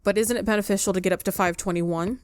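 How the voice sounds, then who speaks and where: A woman giving casual gameplay commentary, thinking aloud in a halting, hesitant way. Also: mid-range voice, fairly even intonation, darkish tone, clear, resonant tone. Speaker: game player.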